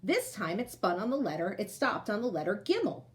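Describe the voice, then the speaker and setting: Animated woman reading a children's story. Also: medium pitch, a little fast. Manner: animated, addresses young listeners directly. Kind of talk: expressive read-aloud of a picture book.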